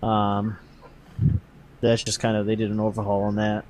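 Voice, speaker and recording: A man hosting a podcast, casually explaining game update news in a halting, hedging way. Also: on the low side, completely flat delivery, darkish tone, slight background noise, poor audio.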